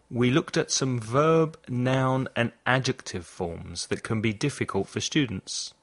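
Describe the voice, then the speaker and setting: A man hosting a language podcast, reading a scripted lesson and saying model phrases slowly and clearly for listeners to repeat. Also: mid-range voice. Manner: slow, carefully articulated model phrases for repetition. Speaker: English teacher, podcast host.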